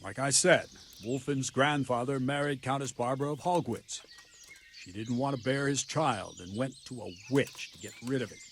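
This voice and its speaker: A blunt, seasoned captain of the guard from a medieval game, voiced by a male actor. His medium-pitched voice is measured and wry. Light outdoor sound sits behind him. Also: slight background noise, lightly acted. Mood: wry, grave. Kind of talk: video game voice acting.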